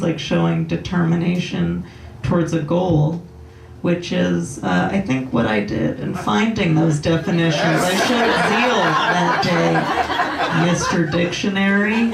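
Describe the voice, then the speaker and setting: A young woman doing a slow, deadpan stand-up set with a low voice and halting delivery full of ums. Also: creaky, very noisy. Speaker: stand-up comedian. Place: comedy club stage with laughing audience. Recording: roomy club sound from a distance.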